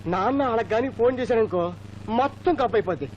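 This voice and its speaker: Fast, panicky young son. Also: male voice, very high-pitched, expressive, a little hiss or hum. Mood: panicky, urgent. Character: panicky young son hiding from his father. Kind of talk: Telugu film comedy acting. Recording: dull sound under loud music.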